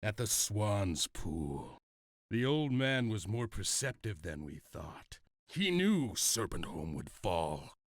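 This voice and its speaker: A man playing a proud, defeated warlord in a video game, speaking deliberately with a wry, taunting edge. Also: on the low side, sing-song, dry recording, studio quality, full character voice. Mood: grave. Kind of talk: dramatic video game cutscene acting.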